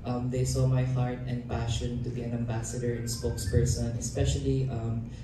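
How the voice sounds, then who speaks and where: A young man answers the press in fluent, speech-like phrases. His pitch barely moves. The sound is far off and very echoey, with steady hall noise underneath. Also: moderate pace. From Philippines. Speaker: pageant titleholder. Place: event hall stage. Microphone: handheld mic, far camera.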